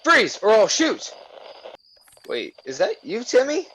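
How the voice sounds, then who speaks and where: Bumbling town cop, higher male voice, old radio comedy, slight noise. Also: wildly swooping pitch, takes it slowly, a bit bright, full character voice, rough recording. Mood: startled, stern. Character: bumbling small-town policeman, flustered and slightly lecherous. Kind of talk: 1930s radio comedy acting.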